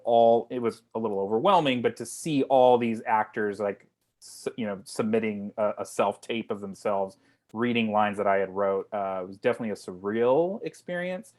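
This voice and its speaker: A man chats informally on a video call, in the role of a writer-director answering questions. His voice has an average pitch, and he rambles along, doubling back on his phrases in a relaxed, conversational way. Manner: rambling, informal, repeats himself. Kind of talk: casual Q&A discussion.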